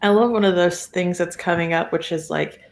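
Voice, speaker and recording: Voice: medium pitch, steady tone, moderate pace. A co-host chatting casually in a musing, lightly giggly way, recorded in an echoey room with some noise. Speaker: guest co-host. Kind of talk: casual chat.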